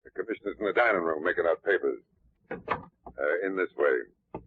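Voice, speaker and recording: An obliging police detective in vintage radio drama. His very low voice moves up and down freely. The recording is thin and tinny. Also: expressive, normal speed, breathy edge. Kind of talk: 1940s radio acting.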